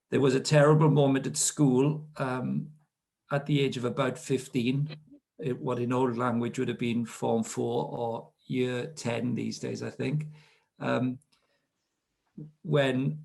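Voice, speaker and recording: A Welsh man in his late fifties tells his story in an easy, anecdotal way. His voice is gravelly and a little husky, sitting in a middle pitch. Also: steady tone, slow, darkish tone. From South Wales. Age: 50s. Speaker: guest speaker. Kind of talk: relaxed storytelling interview.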